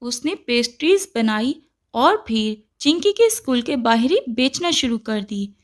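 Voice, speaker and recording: High-pitched woman narrating a children's story. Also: big pitch swings, measured pace.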